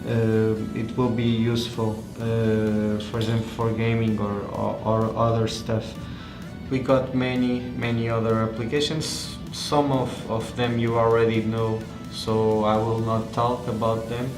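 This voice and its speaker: A man who is not a native English speaker gives an unscripted hands-on product demonstration as a tech reviewer, haltingly searching for words. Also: on the low side, level tone. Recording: echoey room with music behind.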